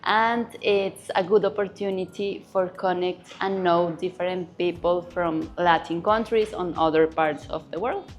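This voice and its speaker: A woman's voice: on the low side, steady tone, measured pace, hardly any pauses, faint music behind. Hesitant woman. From Colombia. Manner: hesitant, fillers of "eh". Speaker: guest entrepreneur.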